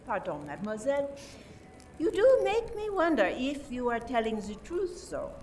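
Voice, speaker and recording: An actress playing a suspicious detective with a deliberate, theatrical, probing manner in a radio play. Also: female voice, sits high, huge pitch swings, darkish tone, exaggerated voice. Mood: probing, skeptical. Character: suspicious French detective methodically questioning suspects. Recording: distant microphone, faint background noise.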